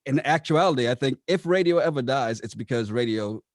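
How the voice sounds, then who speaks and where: A man's voice: medium pitch, expressive, a little fast, no echo. Man explaining in an interview, dry sound. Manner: explanatory, posing rhetorical questions. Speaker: radio operations manager being interviewed. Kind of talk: conversational interview answers.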